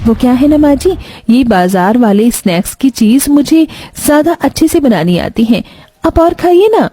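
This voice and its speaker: Woman playing a high-voiced, dutiful young bride. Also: lively intonation, moderate pace, warm tone, slightly rough, dry recording. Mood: respectful, eager. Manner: respectful, eager to help. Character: dutiful, sweet young village bride and daughter.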